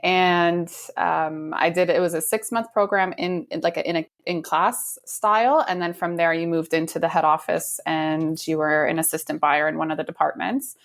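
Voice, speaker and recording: A woman in her thirties, a real estate agent from the United States, chatting relaxed in an interview with light laughs and fillers. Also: low-pitched, normal speed, vocal fry, hardly any pauses. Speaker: realtor guest. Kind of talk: casual interview chat.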